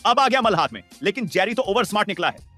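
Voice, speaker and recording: A man narrating a comic recap of cartoon scenes, with loud music under his voice. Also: high voice, expressive, quick pace.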